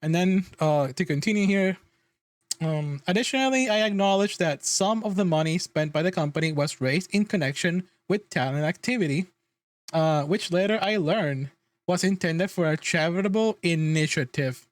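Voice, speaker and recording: High-pitched man ranting, dry close sound. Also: normal speed, no room sound. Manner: exasperated, sweary, emphatic. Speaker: livestreamer reacting to news. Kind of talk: casual ranting reaction commentary.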